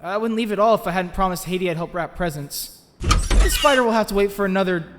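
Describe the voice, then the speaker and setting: A man's voice: sits high, animated, quick pace, in a large hall, background noise. A man playing an earnest, nerdy, anxious teenage boy in a dramatized comic dub. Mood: urgent, anxious. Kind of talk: dramatized comic dub, dialogue and first-person narration.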